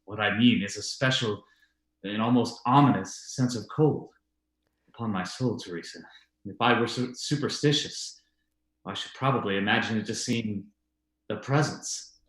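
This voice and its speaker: A man's voice: on the low side, a bit bright, lightly acted. A man playing a reasonable but uneasy husband who denies the supernatural, speaking formally in old-time radio drama style. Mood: unsettled. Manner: formal, measured, halting when uneasy. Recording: roomy, echoey voice recording.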